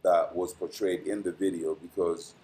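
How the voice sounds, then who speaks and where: A man with a somewhat low voice hosts an astrology channel and reads a dense weekly forecast. He stumbles now and then and fills gaps with "uh", pronouncing each English word with care. His sign-off turns chatty and meandering. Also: little pitch movement, normal speed, warm tone, slight background noise. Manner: reads dense prepared text with frequent "uh" fillers and stumbles; careful pronunciation of English as a later-learned language. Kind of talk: reading a weekly horoscope, then casual sign-off.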